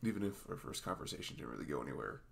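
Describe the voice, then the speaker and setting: Male actor as goofy, sweet young boyfriend for intimate ASMR roleplay; gravelly, very animated delivery, halting and reassuring. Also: extremely low pitch, heavily acted. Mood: tender, goofy. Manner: halting, reassuring, casual, with soft chuckles. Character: sweet, goofy, self-conscious young boyfriend in new romance.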